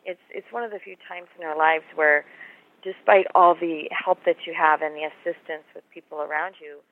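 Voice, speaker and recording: A woman author, slightly hoarse, talking earnestly and reassuringly about her book in an interview. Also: deep voice, steady tone, normal speed. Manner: earnest, reassuring, repeats words. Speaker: author and guest. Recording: phone line audio.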